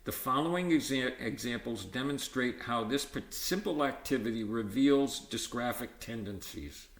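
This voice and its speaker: A man reads aloud from an educational book in a roomy, echoing space. His voice sits at a medium pitch, and his delivery is measured and explanatory, with the odd stumble and self-correction. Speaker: volunteer-style book reader. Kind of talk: reading a textbook aloud with informal asides. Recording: roomy, echoey sound.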